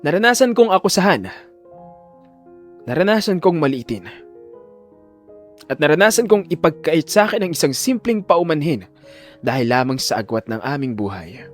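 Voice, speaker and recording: A man's voice: a little high, big pitch swings, normal speed, pauses often, soft background music. A man voicing an earnest, determined teenage valedictorian in an audio drama, speaking formally and emotionally. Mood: impassioned, resolute.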